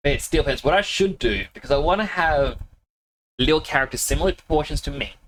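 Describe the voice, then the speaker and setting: A man's voice: fairly high pitch, animated, fast talker, a bit bright, slight background noise, poor audio. A man streaming a video game, chatting casually with viewers, laughing often and a little sniffly with a cold. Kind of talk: casual live-stream chat.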